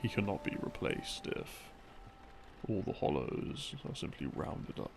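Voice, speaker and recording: A man giving a halting, unhurried sleep-aid ramble, explaining things from memory and trailing off as he muses. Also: low voice, lively intonation, gravelly, noisy background. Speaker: audio creator playing a rambling boyfriend. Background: soft background music.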